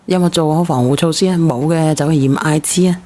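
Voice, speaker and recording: A woman's voice: low-pitched, brisk, dark tone. A woman hosting an emotional phone-in show in speech, probing and exclaiming, repeating herself insistently. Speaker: call-in show host. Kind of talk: emotional radio phone-in talk.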